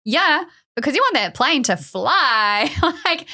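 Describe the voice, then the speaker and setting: A woman's voice: high-pitched, big pitch swings, takes it slowly, bright, forceful tone. Earnest New woman, podcast guest. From New Zealand. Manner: earnest, laughs often, frequent "like". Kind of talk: conversational interview.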